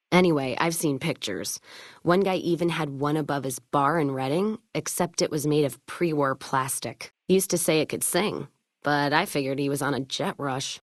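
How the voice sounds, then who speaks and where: A low-pitched tough frontier caravan woman in a video game. She is blunt and wry, a hard drinker with a western turn of phrase. The sound is dry, with no room around it. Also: no room sound. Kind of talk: video game character dialogue.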